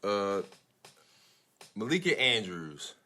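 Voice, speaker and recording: An American man co-hosting a podcast, giving casual opinions in a halting, slangy way and often repeating his opening words. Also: lowish voice, big pitch swings, a crowd in the background. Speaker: podcast co-host.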